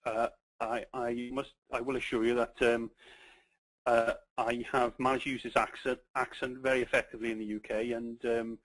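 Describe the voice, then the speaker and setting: A middle-aged man with a Welsh accent presenting a business webinar, pausing often with ums and restarting his sentences. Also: medium pitch, level tone, fairly bright tone, dry recording, low-quality recording. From Wales. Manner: halting, many ums and restarts.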